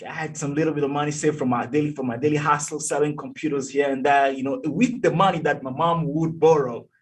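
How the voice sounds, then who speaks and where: A man in his thirties from Togo tells his story with lively emphasis, often repeating himself and checking in with "okay?". His voice is a little higher than most men's and husky. He sounds slightly away from the microphone on a video call. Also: recorded from a distance. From Togo, West Africa. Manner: animated, emphatic storyteller who repeats words and says "okay?". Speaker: guest, youth advocate. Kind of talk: storytelling interview. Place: indoor room, video call.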